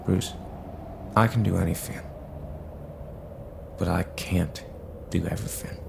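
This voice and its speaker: An actor playing an earnest, patient, idealistic male superhero in an audio drama, speaking in a measured, pleading way. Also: low-pitched, steady tone, gravelly, background noise, heavily acted. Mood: hurt, firm.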